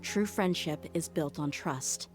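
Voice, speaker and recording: Loyal mercenary woman in a game, lowish voice, firm and frank. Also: slow, soft background music. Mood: resolute. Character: loyal, steadfast female mercenary, frank and resolute. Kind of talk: video game character acting.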